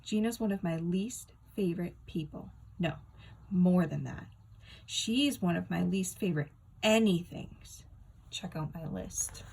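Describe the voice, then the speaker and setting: A woman reading a children's book aloud as a storyteller, with expressive, dramatic and emphatic delivery. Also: on the low side, unhurried, creaky, breathy edge.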